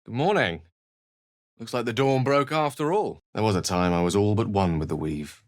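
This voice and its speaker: Video game wizard, male actor: low-pitched, eloquent and courteous, bookish phrasing with a melancholy streak. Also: normal speed, natural voice. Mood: regretful, confiding. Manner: articulate, bookish, theatrical phrasing. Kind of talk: video game character voice lines.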